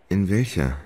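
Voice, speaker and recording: An actor playing a dreamy, hesitant gentleman in love in a radio play, with a husky voice. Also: deep voice, exaggerated voice. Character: dreamy, hesitant, lovestruck gentleman who puts things off.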